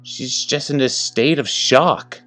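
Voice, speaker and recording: A man's voice: medium pitch, slow, sharp tone, soft background music, voice pushed up. A man voicing a nervous young male paramedic who stammers uneasily.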